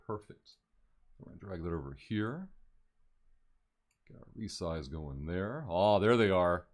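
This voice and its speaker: A man's voice: low voice, expressive, a little slow. A man hosting a live-streamed interview, asking loose, rambling questions with enthusiasm. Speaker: host.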